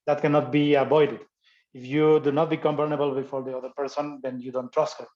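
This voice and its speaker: A man speaking English as a second language delivers an academic talk at a mid-range pitch. He stops and restarts often, searching for words through many fillers. The audio comes through dull and lo-fi. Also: level tone, quick pace, a little mellow. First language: not English. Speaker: guest speaker.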